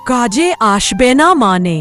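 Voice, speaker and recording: A woman's voice: mid-range voice, lively intonation, unhurried, faint music behind, lightly acted. A woman voicing a meek, dutiful young daughter-in-law in a cartoon, deferential and hesitant. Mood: dismayed. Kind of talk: dramatic Bengali cartoon voice acting.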